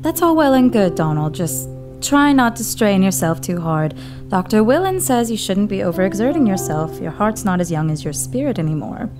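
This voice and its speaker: A woman's voice: medium pitch, very animated, moderate pace, natural voice. A woman playing a playful, caring live-in assistant who teases her elderly employer. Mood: teasing. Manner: teasing, playful. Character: young American woman assistant, teasing and caring. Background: music.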